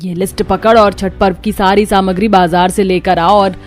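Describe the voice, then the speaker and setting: A woman's voice: mid-range voice, steady tone, normal speed, soft background music, lightly acted. A woman voicing a haughty rich mother-in-law in a cartoon, imperious and scolding. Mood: bossy.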